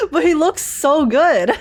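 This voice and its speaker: Playful, high-pitched young woman. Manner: playful, teasing, giggly, slangy. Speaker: streamer reacting to a video game. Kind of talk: casual live reaction commentary.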